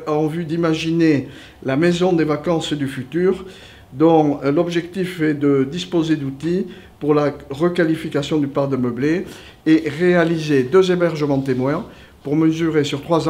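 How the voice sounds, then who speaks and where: A man giving a prepared, formal presentation as a tourist office representative, in a measured, official manner and partly reading it out. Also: on the high side, a little mellow.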